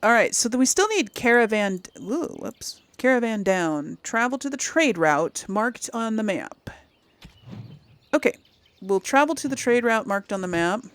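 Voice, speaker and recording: A woman's voice: on the high side, sing-song, a little slow, dry recording. A woman giving casual let's play commentary, thinking aloud as she goes. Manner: thinking aloud, reading quest text aloud.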